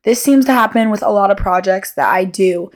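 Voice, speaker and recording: A young woman, about twenty, hosts a casual solo podcast. Her voice sits at an average pitch for a woman. She speaks candidly and without a script, rambling and breaking off to rephrase her own sentences. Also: fairly quick. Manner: rambling, self-interrupting, candid. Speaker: podcast host.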